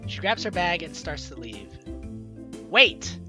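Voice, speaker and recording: A woman's voice: deep voice, big pitch swings, moderate pace. A woman hosting a gaming video, playfully reading visual novel lines aloud with expression and adding commentary. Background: game music.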